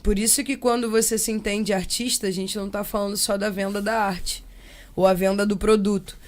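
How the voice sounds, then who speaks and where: A young Brazilian woman speaking casually and reflectively as an interview guest, expressive and slangy, slipping into imagined quotes. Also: medium pitch, fairly quick. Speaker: interview guest, percussionist and samba singer.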